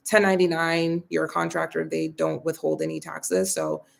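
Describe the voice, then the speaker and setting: A young woman hosts a relaxed live question-and-answer session, reading viewer comments aloud and answering in a chatty, friendly way. Her voice has a strong gravelly fry, and it turns a little raspy after so much talking. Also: deep voice, normal speed. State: getting a bit raspy from talking. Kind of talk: casual live Q&A.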